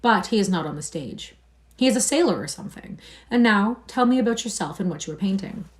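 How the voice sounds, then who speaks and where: A woman voicing a bored, vain young gentleman in a read-aloud novel, languid and dismissive. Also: medium pitch, expressive, brisk, close to an everyday voice.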